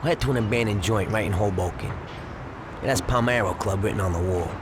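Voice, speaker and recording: A man's voice: lowish voice, quick pace, music in the background, lightly acted. A man playing a blunt, streetwise gangster who schemes and gives instructions in a video game cutscene. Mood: businesslike scheming. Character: scheming, brisk gangster planning a robbery. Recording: noisy street ambience with vehicles.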